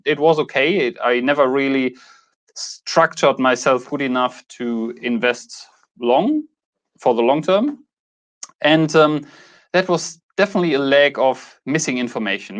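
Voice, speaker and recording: A man in his mid-thirties with a German accent, talking casually to camera as a YouTube host, a little hesitant and correcting himself as he goes. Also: medium pitch. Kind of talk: casual talk to camera, introducing a channel.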